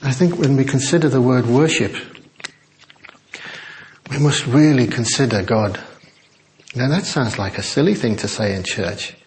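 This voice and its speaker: An older man preaching a chatty, self-deprecating sermon in a quiet voice to a church congregation on a small microphone. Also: mid-range voice, a little slow, pauses often, a little hiss or hum, poor audio. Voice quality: quiet, soft-spoken voice. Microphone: small sensitive microphone.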